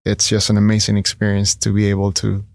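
A man's voice: lowish voice, monotone, fast talker, creaky, darkish tone, no room sound, clean studio sound. A man in his thirties from Ecuador, a guest in a casual interview, talking earnestly with many fillers and restarts. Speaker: guest, entrepreneur.

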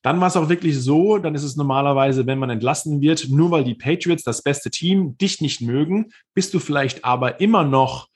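A man's voice: fairly high pitch, moderate pace, few pauses, slightly rough. A man in his thirties, a former professional football player, telling his career story as an interview guest in long, rambling sentences with frequent hesitations.

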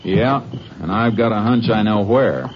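Calm american texas ranger, low voice, terse questions, noisy old radio western recording. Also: male voice, a little slow, dull audio. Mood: grimly certain. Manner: terse, matter-of-fact questioning. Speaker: lead actor in radio western. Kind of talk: 1950s American radio drama acting.

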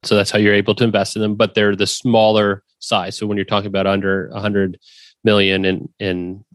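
A man's voice: on the low side, level tone, brisk, no echo. A man co-hosting a finance podcast, chatting casually and joking. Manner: joking, conversational, summarizing. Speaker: podcast co-host.

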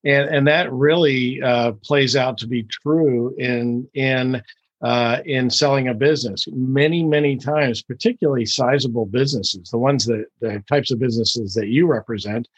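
A man's voice: medium pitch, normal speed, slightly rough, dry recording, muffled recording. A man hosting a podcast interview, talking in a folksy way with frequent repeated words. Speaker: podcast host.

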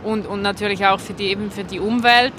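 Swiss woman speaking over clear traffic noise. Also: medium pitch, steady tone, fairly quick. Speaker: street interviewee. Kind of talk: spontaneous, reflective interview answers. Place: outdoors on an urban street.

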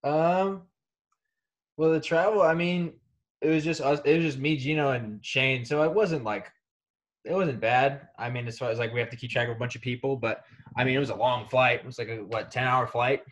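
Relaxed young man chatting casually. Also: medium pitch, normal speed. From Kansas City. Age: young adult. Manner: relaxed, rambling with many fillers. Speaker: college wrestler guest. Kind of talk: casual interview chat.

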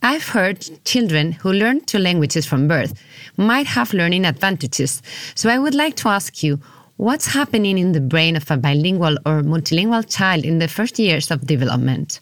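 A woman hosting an interview, reading out questions and narration in a measured, scripted way. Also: lowish voice, very animated, no echo. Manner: measured, read-aloud delivery. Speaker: interviewer and host.

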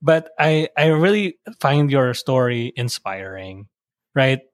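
A man from the Philippines hosting a podcast interview, supportive and quick with short affirmations. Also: mid-range voice, animated, dry recording, studio quality. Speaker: podcast host. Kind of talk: casual interview. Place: home in the United States.

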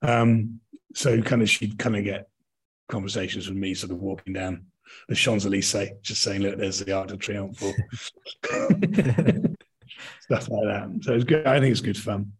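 Middle-aged British male interviewee, low husky voice, discursive, reminiscing delivery full of rephrasing. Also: a little slow, long pauses, poor audio. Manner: rambling, hedging, often rephrasing mid-sentence. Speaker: interview guest, touring actor and director. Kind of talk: conversational interview answers, reminiscing.

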